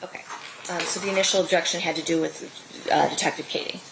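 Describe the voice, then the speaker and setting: An American woman presiding as judge, speaking formally and procedurally in measured courtroom instructions and rulings. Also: fairly low pitch, fairly even intonation, creaky. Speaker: judge presiding over a criminal trial. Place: indoor courtroom. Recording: noisy, echoey live courtroom feed.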